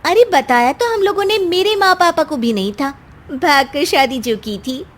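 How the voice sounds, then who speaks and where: A woman voicing a haughty, mocking young city wife in an animated story. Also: high-pitched, moderate pace. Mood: sassy. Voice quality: sassy, mocking, laughs at others. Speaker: voice actor.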